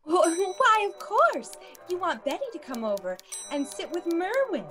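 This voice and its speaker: A woman acts out a fussy, motherly lady who runs a babysitting bureau in an old radio play. Her voice is very high and swoops about with solicitous, chirpy politeness. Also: wildly swooping pitch, moderate pace, pushed and bright, faint music behind, exaggerated voice. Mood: motherly, brisk. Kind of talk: classic radio play acting.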